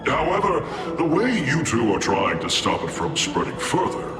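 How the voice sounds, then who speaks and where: Grandiose, echoing talking lion statue. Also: male voice, mid-range voice, huge pitch swings, moderate pace. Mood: teasing, sly. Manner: theatrical, grandiose, teasing. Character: jovial boastful talking lion statue, gossipy city informant. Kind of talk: video game voice acting. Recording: roomy echo, music behind.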